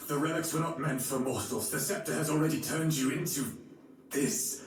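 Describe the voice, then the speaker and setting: An actor playing a righteous, resolute knight lord, earnest and commanding, in a game cutscene. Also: male voice, fairly high pitch, moderate pace, natural voice. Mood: grave. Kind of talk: dramatic video game cutscene acting. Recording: distant, very echoey, faint music behind.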